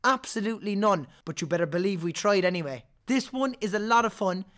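A man with a Cork Irish accent, hosting a podcast in a chatty, animated way, his voice hoarse from singing all weekend. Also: high voice. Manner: chatty, animated, quick to laugh. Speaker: podcast host. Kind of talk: casual chat.

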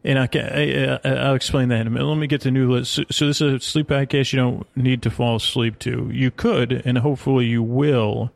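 A man hosting a sleep podcast, delivering a halting, meandering bedtime monologue full of stammers and digressions, in a gravelly voice. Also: mid-range voice, fairly even intonation, dry recording.

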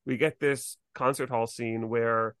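A man's voice: mid-range voice, fairly even intonation, unhurried, fairly bright tone, smooth, dry recording, studio quality. A man chatting casually as a podcast co-host, sprinkling his talk with "like". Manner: chatty, frequent "like" and hedging. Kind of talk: casual chat discussing a TV series.

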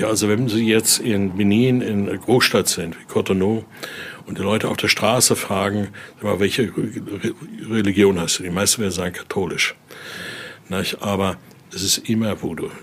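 A man's voice: lowish voice, a little hiss or hum. A man informally guiding visitors through an exhibition, telling stories and often tagging his sentences with short questions. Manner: frequent question tags at ends of sentences. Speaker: guide and collector showing a Voodoo altar exhibition. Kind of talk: informal guided tour, storytelling.

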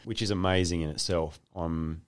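Deep voiced guy hosting a podcast, chatty and loose, lots of mm's. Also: steady tone, moderate pace, clean tone. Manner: chatty, laughing, loose and warm with a friend. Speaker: podcast host. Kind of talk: casual interview.

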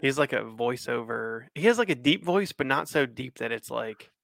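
Gravelly, bright-toned man chatting casually. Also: medium pitch, lively intonation, moderate pace, dry recording, studio quality. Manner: easygoing, agreeable, laughs often. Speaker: podcast co-host. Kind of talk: casual chat over beers.

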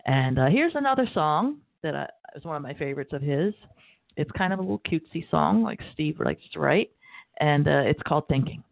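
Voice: medium pitch, animated, brisk, creaky, no echo. A radio host raised in Baltimore, chatting loosely and rambling between songs on a music show. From New Orleans, grew up in Baltimore. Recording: dry, tinny phone-like sound.

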